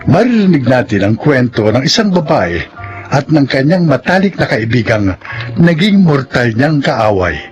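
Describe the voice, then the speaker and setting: A man announcing a radio drama in a formal, measured broadcast voice. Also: sing-song, highs cut off. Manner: booming, measured. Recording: announcer over loud music.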